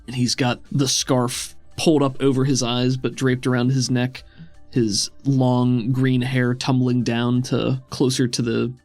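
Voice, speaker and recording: A man's voice: mid-range voice, dry recording. A man working as game master, narrating a tabletop roleplaying scene in a conversational, descriptive way. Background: music.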